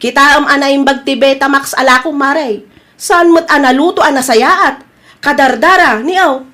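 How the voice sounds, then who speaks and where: A woman's voice: sits high, normal speed, bright, forceful tone. A woman with an Ilocano accent playing an aggrieved, dutiful young daughter in an audio drama. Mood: indignant.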